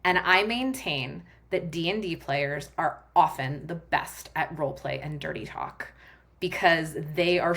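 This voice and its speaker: A woman with a slightly low voice talking as a podcast guest. She is frank and animated, swears casually, and explains things with lively energy. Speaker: guest, sex and relationship coach. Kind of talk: candid podcast conversation.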